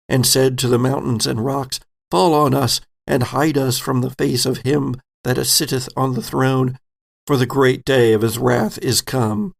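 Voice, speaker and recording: A man's voice: mid-range voice, vocal fry. A man voicing a solemn prophet who recites apocalyptic scripture in a grave, portentous manner, as in an audio drama.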